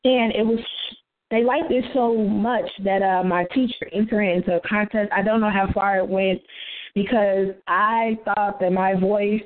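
A soft-voiced woman, a poet being interviewed, chatting casually and telling stories with lots of quoted dialogue. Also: mid-range voice, fairly even intonation, moderate pace. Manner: chatty, quotes herself and others. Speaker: interview guest, spoken word poet. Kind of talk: casual interview chat, storytelling. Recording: tinny phone-line internet radio sound.

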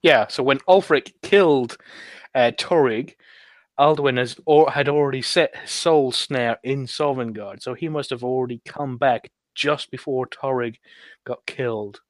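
A man on a podcast panel casually recounting a video game quest, haltingly groping for words as he goes. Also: medium pitch, dry recording.

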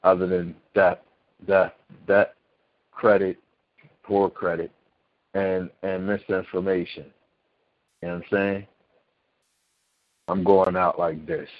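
A man's voice: sits low, flat delivery, takes it slowly, long pauses, dry recording. A man hosting a call-in radio talk show, laid-back and slangy as he wraps up the hour. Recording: dry, dull lo-fi audio.

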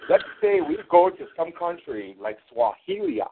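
A man's voice: high-pitched, wildly swooping pitch, normal speed, gravelly, a little hiss or hum. A man cutting a sarcastic, mocking wrestling promo, taunting his rival as champion. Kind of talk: trash-talking wrestling promo over a call. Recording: tinny phone line.

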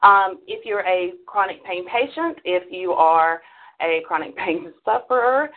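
A woman's voice: fairly low pitch, lively intonation, a little slow, phone-quality sound. A middle-aged woman co-hosting a call-in show, chatting casually to listeners in a folksy, emphatic way over band-limited call audio.

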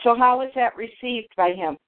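A woman's voice: on the high side, moderate pace. A woman coaching a client over the phone, giving short encouraging replies and questions. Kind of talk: casual phone conversation. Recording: tinny phone line.

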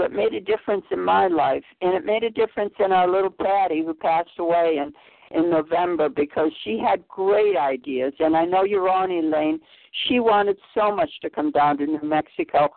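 A woman's voice: mid-range voice, gravelly, no echo. A woman hosting a call-in radio chat, effusive and rambling, with many restarts. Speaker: host. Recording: tinny phone line, dry.

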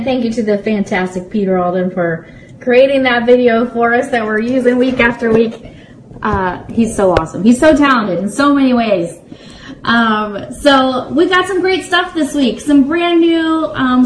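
A woman's voice: sits high, moderate pace. A woman hosting a livestream show, chatting enthusiastically to viewers as she reads announcements and sponsor plugs. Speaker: radio network show host. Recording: roomy echo, distant mic, faint noise.

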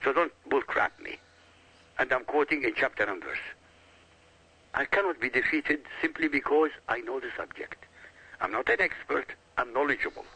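A man who grew up in Iraq, arguing his point hard and insistently as a radio guest. His voice is sharp and gravelly, with a husky edge. His pitch swings widely as he drives each point home. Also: animated, moderate pace, rough recording. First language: Arabic. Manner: emphatic, insistent and combative. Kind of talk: argumentative radio talk.

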